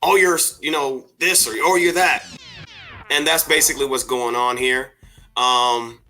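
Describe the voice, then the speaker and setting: Man, podcast host, casual rambling talk, bright tone, mid pitch. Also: fairly even intonation, a little slow, faint music behind, rough recording. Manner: meandering, many fillers and restarts. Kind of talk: casual discussion.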